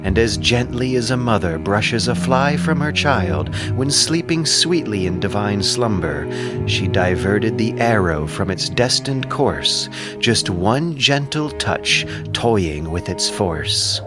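A man's voice: lowish voice, expressive, slow pace, loud music behind the voice. A man narrating an ancient epic as a scripted audio drama, solemn and declamatory.

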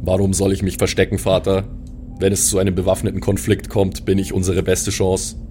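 A man performs a dutiful robot son in an improvised radio play. The voice is deep, measured and formal, stating combat logic without emotion. Faint music plays underneath. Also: completely flat delivery, warm tone, natural voice. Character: formal, obedient male robot son, combat-minded and literal.

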